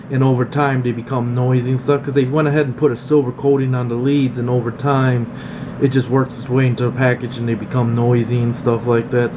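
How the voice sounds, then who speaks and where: A man, a repair technician, giving a casual, plain-spoken demonstration in an informal explaining way. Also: medium pitch. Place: indoor electronics workshop. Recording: slightly dull, noisy hum of room. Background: steady background noise.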